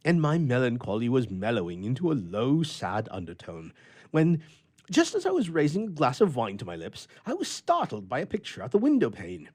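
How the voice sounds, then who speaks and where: A man playing a grumpy, flustered bachelor with a soft heart, telling his tale with theatrical bluster and stammering in old-fashioned storybook prose. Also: mid-range voice, huge pitch swings, normal speed. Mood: haunted, uneasy.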